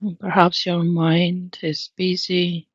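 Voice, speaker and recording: Casting: female meditation guide, calm and unhurried, lowish pitch, long pauses, dry and slightly dull lo-fi recording. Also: breathy edge, no room sound. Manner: calm, soothing, long pauses between phrases.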